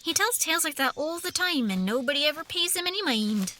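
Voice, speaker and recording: A feisty young sister, played by a woman, high and bright, sassy and skeptical in a fantasy audio drama. Her pitch jumps around animatedly. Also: expressive, a little fast, a little hiss or hum. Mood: teasing, indignant. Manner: sassy, exclamatory.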